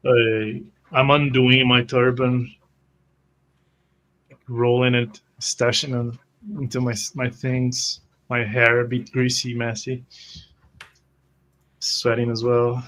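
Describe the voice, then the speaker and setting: A man playing a tabletop roleplay game, describing his character's actions in the first person with deliberate, rather formal wording. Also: medium pitch, monotone, a bit bright, rough recording.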